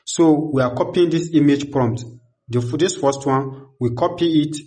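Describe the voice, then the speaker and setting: A man's voice: on the high side, moderate pace, a little mellow. A young man hosting an instructional tutorial in a repetitive, step-by-step way, speaking into a desk microphone. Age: young adult. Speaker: tutorial host. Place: small indoor home studio. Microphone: desktop condenser microphone close in front.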